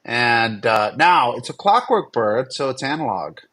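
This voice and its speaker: A man with a husky voice chats casually as a livestream co-host. He is wry and teasing, tossing in comments as banter. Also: mid-range voice, very animated, unhurried, sharp tone.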